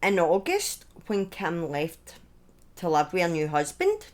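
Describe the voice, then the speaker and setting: A woman telling a true-crime story in a conversational, partly scripted way, with informal asides, opinions and halting phrasing. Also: on the low side, wildly swooping pitch.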